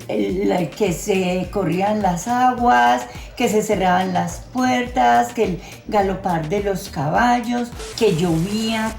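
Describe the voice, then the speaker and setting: An older woman with a Colombian accent reminiscing in a plain-spoken, halting way, repeating words as she goes. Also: on the high side, creaky, darkish tone, reverberant. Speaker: audience member giving testimony. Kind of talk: reminiscing testimony.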